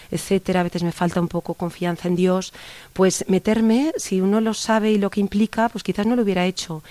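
A woman's voice: medium pitch, dark tone, dry recording. A middle-aged woman from Barcelona, a guest in an interview, talking at length in an earnest, rambling way with many restarts. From Barcelona, Spain. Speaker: interview guest, youth organizer. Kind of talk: casual conversational interview.

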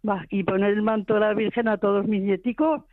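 A woman's voice: mid-range voice, steady tone, a little fast, no echo. A woman, chatting and repeating her words as a caller to a radio show. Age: 90s. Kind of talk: casual chat. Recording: muffled, band-limited phone call on radio.

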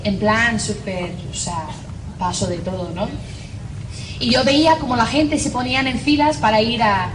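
A religious sister from Northern Ireland with an Irish accent, telling her faith story in a humorous, self-mocking way with many fillers. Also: mid-range voice. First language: English. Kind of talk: personal faith testimony. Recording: distant mic in an echoey noisy room.

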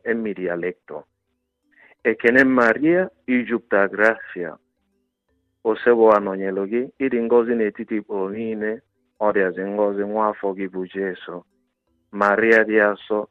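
Nigerian male priest, low earnest voice, tinny phone-like sound. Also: no echo. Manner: earnest, reverent. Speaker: priest guest. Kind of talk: spoken prayer.

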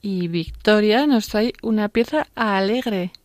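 A woman hosting a classical music radio show, speaking calmly and unhurriedly. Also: mid-range voice, slow, no room sound, studio quality. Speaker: radio host.

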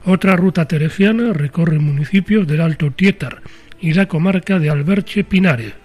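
A man reading a formal news voice-over in a clear way. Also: on the high side, normal speed, a little mellow, soft background music. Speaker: news narrator. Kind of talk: scripted voice-over narration.

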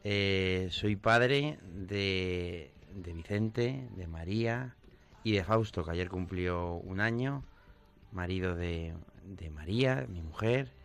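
A man's voice: deep voice, takes it slowly, smooth. A man with a Madrid Castilian accent chatting as an interview guest, hesitant and often repeating his words. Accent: Madrid Castilian Spanish. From Madrid, Spain. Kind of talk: casual conversational interview. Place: radio studio. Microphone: studio microphone.

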